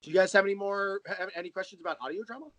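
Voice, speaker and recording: A man's voice: high-pitched, racing, fairly bright tone, dry recording. A man speaking as a writer and interview guest, chatting casually and explaining at length with enthusiasm. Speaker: interview guest, audio drama writer and creator.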